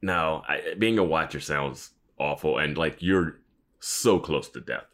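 A man co-hosting a podcast in casual conversation about a TV show, speaking in an animated, exclamatory way. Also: very deep voice, a little slow. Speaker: podcast co-host.

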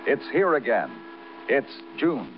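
A man's voice: mid-range voice, big pitch swings, takes it slowly, very noisy. An American actor playing a world-weary police detective who narrates his case in poetic, clipped, dramatic 1950s radio noir style. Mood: wistful. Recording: muffled vintage radio broadcast, music behind.

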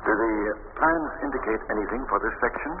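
A man's voice: on the low side, a little fast, close to an everyday voice. An actor playing a sinister, mad architect posing as a ghostly abbot in an old radio thriller, theatrical and mock-polite in his menace. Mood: smoothly polite. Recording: scratchy, noisy, narrow-band old radio recording.

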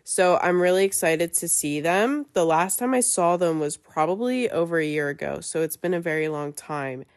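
A woman's voice: on the low side, moderate pace, hardly any pauses. Chatty young woman, nasal from a cold. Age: young adult. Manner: chatty, lots of fillers and likes. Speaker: podcast host. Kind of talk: casual chat.

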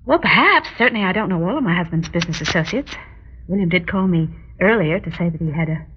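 Woman playing guarded proper wife in an old radio play, clipped and defensive, swooping pitch, dull lo-fi sound. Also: lively intonation, fast talker, slight background noise, close to an everyday voice. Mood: guarded, polite. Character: proper, guarded wife, stubbornly disbelieving, easily affronted. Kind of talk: old-time radio drama acting. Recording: dull, lo-fi vintage radio recording.

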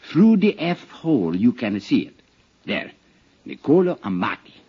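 An actor playing a humble, eager immigrant violin seller in a 1950s radio drama, halting and deferential. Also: male voice, medium pitch, sing-song, slightly rough. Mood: eager, proud. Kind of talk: 1950s radio character acting. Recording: dull 1950s radio recording.

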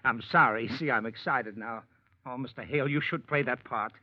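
A man's voice: mid-range voice, normal speed, dry recording, lightly acted. An eager young schemer talks his way in, fumbling and then persuasive, as a man acts him out. The old radio recording is dry and dull. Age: young adult. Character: eager, scheming young male talent manager. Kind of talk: 1940s radio acting.

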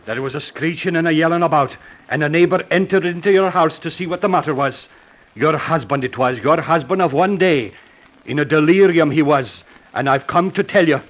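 An actor playing a gloomy village surgeon bearing bad news in a radio melodrama, declamatory and old-fashioned. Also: male voice, fairly high pitch, fairly quick, lightly acted. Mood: solemn, ominous. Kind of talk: old-time radio drama acting. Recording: muffled old radio recording.

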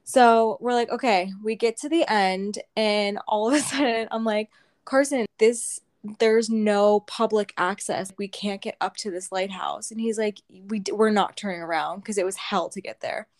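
A young woman co-hosting a podcast, telling a story in a casual, animated way and swearing freely. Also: mid-range voice, moderate pace. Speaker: podcast co-host.

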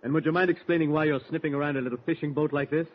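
A man's voice: fairly high pitch, steady tone, rapid-fire, close to an everyday voice. An actor playing a bitter, suspicious young fisherman in a 1940s radio drama, blunt and challenging. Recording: muffled, noisy 1940s radio recording.

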